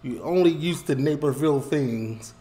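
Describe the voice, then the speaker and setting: Man, lively rambling talk. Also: fairly high pitch, moderate pace. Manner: animated, rambling, repeats phrases, gestures while talking. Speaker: reaction video host. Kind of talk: casual commentary.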